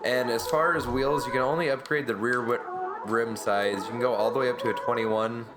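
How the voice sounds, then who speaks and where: A man's voice: medium pitch, a little fast. A man with a sore, fading voice giving casual gameplay commentary as a YouTuber, rambling through car details. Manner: relaxed, rambling car-enthusiast explanations. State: sore throat, voice going in and out. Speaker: gaming YouTuber. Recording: loud music behind voice.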